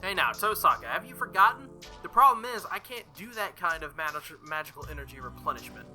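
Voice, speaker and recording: Earnest teenage boy hero, worried, hesitant, questioning, visual novel, a man reading plainly, bright voice. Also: high voice, sing-song, brisk, faint music behind, full character voice.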